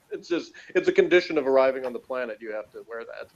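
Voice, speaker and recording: Male reviewer, mid pitch, wry and meandering in casual discussion, slightly dull recording. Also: sing-song, fast, vocal fry.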